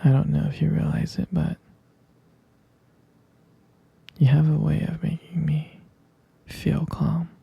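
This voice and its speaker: A man playing an adoring, tender vampire lover in an intimate ASMR roleplay, whispering breathily and haltingly with gravelly fry. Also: fairly high pitch, level tone, no room sound, full character voice. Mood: adoring, soothing. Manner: slow, halting, softly repeating himself. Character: adoring, tender male vampire lover soothing his sweetheart.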